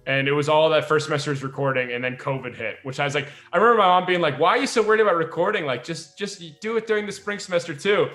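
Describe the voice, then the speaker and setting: Young man chatting in a bright, echoey room. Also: on the high side, fast talker, few pauses, soft background music. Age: young adult. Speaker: podcast host and audio drama writer. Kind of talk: casual chat.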